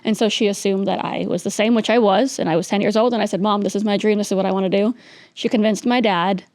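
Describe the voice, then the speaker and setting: A woman's voice: mid-range voice, steady tone, quick pace, a little mellow, no echo. Young woman guest from New York City, casual storyteller with lots of fillers, dry close mic. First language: Russian. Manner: chatty, laughs often, many fillers. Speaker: podcast guest. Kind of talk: casual interview chat.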